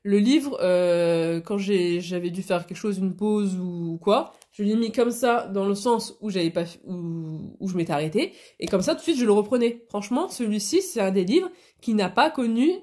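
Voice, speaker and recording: Stuffy nosed lady vlogging, warm mellow voice, rambly and full of ums. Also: mid-range voice, lively intonation, normal speed. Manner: chatty, many hesitations and restarts. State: stuffy nose from a cold. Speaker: hobby vlogger. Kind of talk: casual chat.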